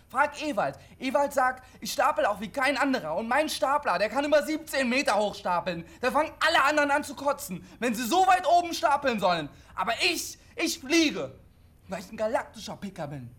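A man's voice: very high-pitched, sing-song, brisk, fairly bright tone, full character voice. An actor in his twenties playing a defiant, lonely CB radio dreamer in a radio drama, boastful and insistent. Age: 20s.

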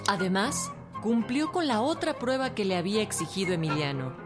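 A woman's voice: mid-range voice, animated, normal speed. A woman reading scripted narration for a historical radio drama. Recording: prominent string music bed.

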